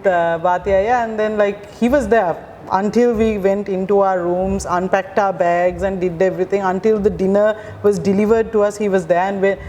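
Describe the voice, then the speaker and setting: A woman's voice: medium pitch, steady tone, moderate pace, hardly any pauses, darkish tone. A young woman from Sri Lanka, a medical graduate, chatting casually in an interview and filling her talk with "like". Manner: chatty, lots of "like" fillers. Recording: roomy reverb, faint background noise.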